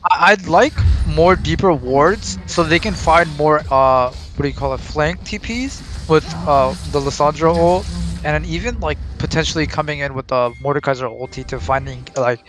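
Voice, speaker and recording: A man's voice: fairly high pitch, normal speed, fairly bright tone, soft background music. A man doing casual color commentary on an esports match, whose first language is Arabic, rambling and hunting for words with many fillers. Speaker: esports caster.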